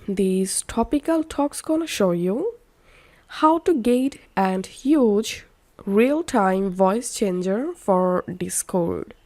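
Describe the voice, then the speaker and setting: A woman narrating a how-to software tutorial as its host, instructional with slightly halting phrasing. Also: medium pitch, animated. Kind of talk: step-by-step software tutorial voice-over.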